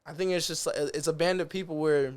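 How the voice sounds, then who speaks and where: A man's voice: on the high side, steady tone, brisk. A young man from the Seattle area, a guest musician chatting casually in a podcast interview, talking in slang and quoting himself. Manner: laid-back slangy talk, quotes himself.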